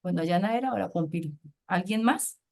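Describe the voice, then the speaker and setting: A woman university professor with a Colombian accent, leading a class discussion in an animated way with frequent rhetorical questions. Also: lowish voice, fairly quick, vocal fry.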